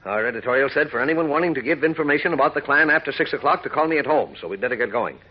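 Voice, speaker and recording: An American actor playing a stubborn, irascible gray-haired newspaper editor, bossy and blustering, in 1940s radio style. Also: male voice, medium pitch, expressive, racing. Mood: impatient, blustering. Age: middle-aged. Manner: blustering, bossy, gruff, interrupting. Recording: muffled old radio broadcast with hiss.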